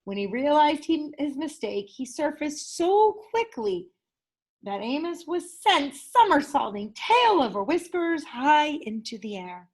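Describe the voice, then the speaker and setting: A woman, a teacher, narrating a children's picture book aloud in an expressive storytelling style. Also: sits high, measured pace.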